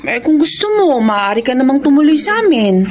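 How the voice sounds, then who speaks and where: A woman's voice: sits high, very animated, brisk, noisy background. An actress with a Filipino accent playing a frank, caring boss in a radio drama, coaxing and emphatic as she advises. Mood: frank, concerned. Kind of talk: Tagalog radio drama acting.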